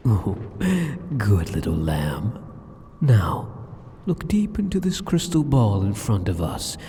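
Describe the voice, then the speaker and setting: A man's voice: on the low side, big pitch swings, slow pace, warm tone, a little hiss or hum, heavily acted. A man voicing an arrogant, sadistic demon king in an ASMR roleplay, with a mocking, condescending and teasing manner. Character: sadistic, arrogant male demon king taunting a captive hero. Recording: long cavernous hall reverb.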